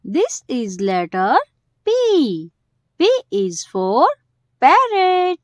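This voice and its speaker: A woman's voice: sits high, wildly swooping pitch, very slow, no echo, studio quality. A woman hosting a children's educational video, speaking in simple short phrases and stressing the key words. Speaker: host of a children's alphabet video.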